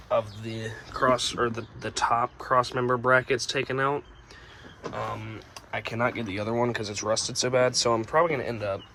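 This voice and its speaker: Raspy young man, rambling garage vlog. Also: mid-range voice, a bit bright, slight background noise. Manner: rambling, filler-heavy, self-correcting. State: just woke up. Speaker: truck restoration vlogger. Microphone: phone camera.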